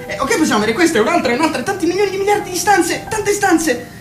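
A woman's voice: very high voice, quick pace, over music. A woman voicing a restless teenage son in a radio play, bitter toward his mother and coaxing with his sister. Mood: gentle coaxing. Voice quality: expressive, pleading and mocking. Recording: roomy echo.

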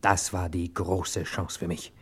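An actor playing a hard-boiled, cocky hero who narrates a German radio crime play in clipped slang, talking straight to the listener. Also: male voice, low voice, little pitch movement, measured pace, a bit bright, breathy edge, full character voice. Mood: dry, suspicious.

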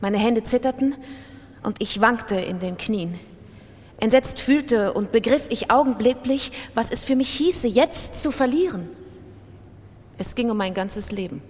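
A woman's voice: unhurried, a little mellow. A woman with a standard German accent reading literary prose as a dramatic radio narration. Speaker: literary reader in a radio production. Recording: long reverb, faint rumbling noise.

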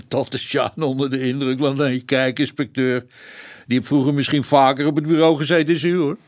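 A man's voice: medium pitch, level tone, fairly quick, natural voice. An actor playing a deferential, familiar junior policeman in a Dutch radio drama, colloquial and a little hesitant. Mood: reproving, amused.

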